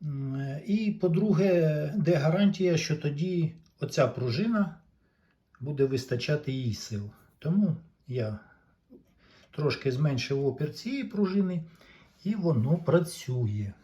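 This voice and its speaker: A man giving an informal, unscripted repair walkthrough as a hobbyist, explaining haltingly and pausing mid-sentence. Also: fairly high pitch, animated, warm tone. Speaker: repair hobbyist presenting a demonstration. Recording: dull lo-fi sound.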